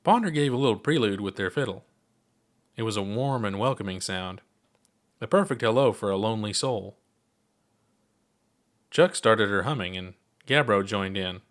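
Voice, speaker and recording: A man's voice: fairly low pitch, moderate pace, pauses often. A man narrating his own scripted story as an audiobook-style reading. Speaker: author and narrator.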